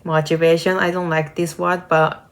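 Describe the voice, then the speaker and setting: A woman's voice: low-pitched, level tone, normal speed. A young woman from Japan with a Japanese accent, talking casually and at length, full of filler words. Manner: talkative, uses many "like" fillers. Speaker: vlogger and nursing student. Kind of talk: casual chat to camera. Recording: guitar music playing behind.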